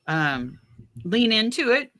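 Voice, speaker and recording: A woman's voice: on the high side, very animated, slow pace, pushed and bright. A woman chatting casually about spiritual experiences, telling anecdotes and acting out bits of remembered conversation. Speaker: guest on a spiritual radio show.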